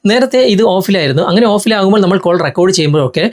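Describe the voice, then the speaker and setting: A man's voice: high-pitched, animated, racing. A man with a Malayali accent hosting a tech tutorial, explaining phone steps in an instructional, step-by-step way straight to viewers.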